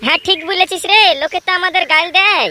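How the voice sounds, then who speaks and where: Woman voicing a squeaky, fast, cocky campaign worker. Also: steady tone, pushed and bright, a little hiss or hum, exaggerated voice. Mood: eager. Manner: boastful, bickering, colloquial. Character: cocky, combative village party campaign worker. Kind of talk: comic cartoon dialogue.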